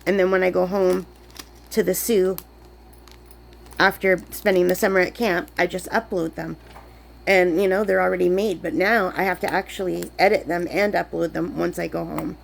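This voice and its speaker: A sick woman, chatting in a rambling way while crafting, her voice lower than usual. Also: fairly even intonation, normal speed, creaky, slight background noise, poor audio. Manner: chatty, rambling, many fillers. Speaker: crafting vlogger. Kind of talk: casual chat while crafting. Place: camp cabin indoors.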